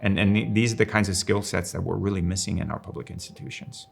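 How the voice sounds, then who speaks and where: A man's voice: deep voice, steady tone, fast talker, vocal fry, over loud music. A man in his sixties from Finland, raised in Italy, speaking reflectively in an interview and explaining ideas thoughtfully. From Finland, raised in Rome, Italy. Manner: thoughtful, explanatory, poses rhetorical questions. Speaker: strategic designer and professor of practice.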